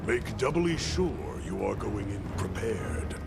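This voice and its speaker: An actor playing a commanding, vigilant leader of monster hunters in a video game, giving clipped, authoritative orders. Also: male voice, lowish voice, big pitch swings, takes it slowly, vocal fry, full character voice. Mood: stern, composed. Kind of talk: dramatic game voice acting. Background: loud music.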